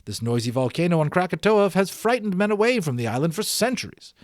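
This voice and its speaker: A man voicing a courteous, calm gentleman guide in an audiobook, explaining things in a measured, theatrical way. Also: high voice, dry recording, studio quality, pitched above the performer's own voice. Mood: grave, theatrical. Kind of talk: audiobook character dialogue.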